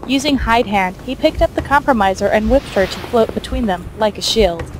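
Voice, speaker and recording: A woman's voice: a little high, expressive, a little fast, background noise. A woman reading scripted fiction as the narrator of an audio story.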